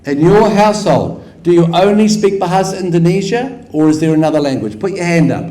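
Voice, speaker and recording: A man's voice: sits high, animated, fairly quick, dark tone. A man with a New Zealand accent giving a conference lecture in a hall, repeating phrases for rhetorical effect and quizzing the audience with questions. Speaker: guest lecturer. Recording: echoey hall.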